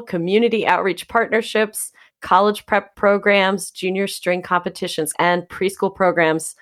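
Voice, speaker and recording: A woman co-hosting a podcast, reading an upbeat guest introduction with enthusiasm. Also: fairly low pitch. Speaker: podcast co-host.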